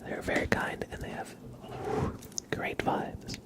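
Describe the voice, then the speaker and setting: A man hosting an ASMR livestream, speaking gently and unhurriedly in a breathy whisper with some gravel in it. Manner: soft-spoken, gentle, unhurried. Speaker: ASMR live streamer and host.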